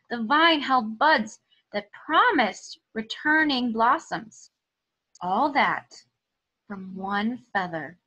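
Female storybook reader, 30s, schoolteacher type, very bright tone, expressive and deliberate, recorded in a small room at home. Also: fairly high pitch, poor audio. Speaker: teacher reading aloud to pupils. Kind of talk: expressive children's storybook read-aloud.

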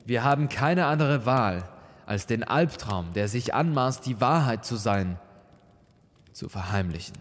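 A man's voice: mid-range voice, animated, measured pace, long reverb tail, close to an everyday voice. A grave older monsignor, acted by a male narrator in a horror audio story. He addresses others formally and solemnly, pressing his case with insistence. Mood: grave urging. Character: grave monsignor urging secrecy, older churchman. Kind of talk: dramatic audio story dialogue.

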